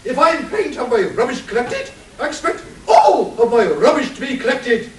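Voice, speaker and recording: A man's voice: very high voice, big pitch swings, moderate pace. A man playing a dim, imperious British titled lady with a haughty, exclamatory manner in a comic radio play. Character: titled upper-class woman, dim, imperious, breezily callous amateur sleuth. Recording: distant mic, roomy echo, faint hiss.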